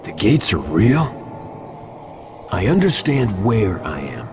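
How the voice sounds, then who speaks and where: A man's voice: fairly low pitch, sing-song, slow pace, background noise. A man playing a troubled, stubborn dead fighter in purgatory in a video game cutscene, speaking in an earnest, terse way. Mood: troubled, protesting. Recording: music behind the voice. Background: music.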